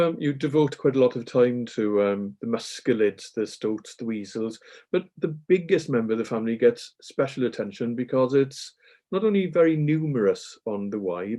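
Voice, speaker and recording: A man interviewing an author, asking long, measured questions with occasional ums. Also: medium pitch, mellow.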